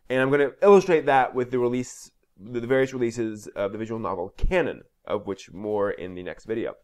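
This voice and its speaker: A man's voice: mid-range voice, a little mellow. A man hosting an explainer video, speaking in an informal, conversational way with emphatic stresses and asides that trail off.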